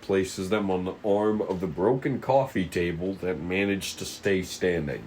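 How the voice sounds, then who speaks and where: Low-voiced man narrating a script. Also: measured pace, a little mellow, slight background noise. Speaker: narrator reading stage directions. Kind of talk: scripted narration at a casual table read.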